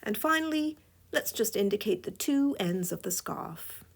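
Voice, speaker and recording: A woman's voice: mid-range voice, animated, moderate pace, gravelly, breathy edge. A woman with a scratchy voice giving a drawing tutorial voice-over, playful and chuckling often. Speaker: drawing instructor.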